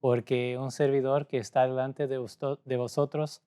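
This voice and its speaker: A man from Portugal with a Portuguese accent, a native Portuguese speaker, preaching a sermon as a pastor in a measured way with pauses. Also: mid-range voice, flat delivery, mellow, clean tone. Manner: measured, pausing, speaking a second language.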